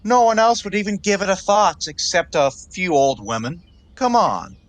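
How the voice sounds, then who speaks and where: A man's voice: high-pitched, lively intonation, moderate pace, no echo. A man acting an earnest, naive young suitor in a 1920s stage comedy, courteous and genial. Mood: puzzled, coaxing.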